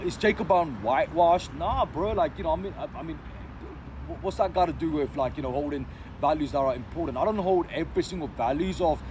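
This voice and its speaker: A man's voice: on the high side, a little fast, a little mellow. Rambling slangy man, busy street. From Sri Lanka. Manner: rambling, slang-heavy, many fillers and restarts. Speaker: vlogger. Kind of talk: casual unscripted monologue. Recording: noisy street with passing cars.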